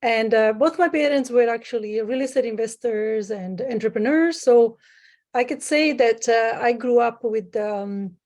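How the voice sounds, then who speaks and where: A woman's voice: fairly high pitch, unhurried, darkish tone. A woman from Sicily, Italy, talking as a guest on a remote call, in a casual, hesitant way with many fillers. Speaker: guest, private lender. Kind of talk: casual interview.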